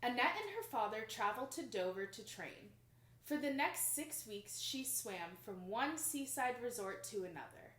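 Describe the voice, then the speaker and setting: A young woman reads a children's picture book aloud with expressive, dramatic emphasis, recorded in a small room indoors. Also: on the high side, moderate pace. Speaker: storybook reader. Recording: roomy home recording.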